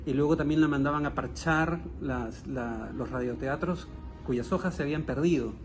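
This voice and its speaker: A man's voice: a little high, moderate pace, music in the background. A man, discussing a book conversationally in a reflective way and often searching for his words. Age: 50s. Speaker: guest writer commenting on a novel. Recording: noticeable background ambient noise.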